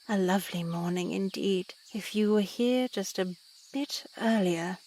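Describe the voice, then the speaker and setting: A woman playing a pious, motherly yet unsettling nun in a horror game, speaking in a genteel, measured, preachy way. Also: mid-range voice, a little hiss or hum, lightly acted. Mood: serene, genteel. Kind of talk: scripted visual novel voice acting.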